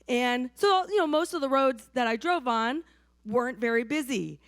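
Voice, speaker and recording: A hoarse woman from New Hampshire preaching a sermon to her church in a conversational way, often checking in with the congregation. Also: high-pitched, lively intonation, normal speed, no echo, clean studio sound. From New Hampshire, USA. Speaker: pastor.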